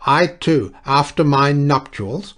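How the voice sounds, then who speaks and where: A man voicing an excitable, muddled elderly clergyman who rambles and declaims loudly. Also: mid-range voice, moderate pace, husky, voice pushed up. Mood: ranting. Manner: loud, declaiming, ranting.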